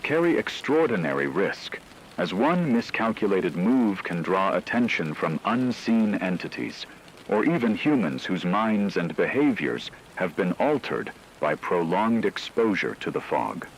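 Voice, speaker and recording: A man as a deep-voiced, grave radio disaster correspondent. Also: very animated, a little slow, a little hiss or hum, full character voice, low-quality recording. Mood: grave, ominous. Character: grave male radio news correspondent reporting an apocalyptic disaster. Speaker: news reporter. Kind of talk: scripted dramatic news narration.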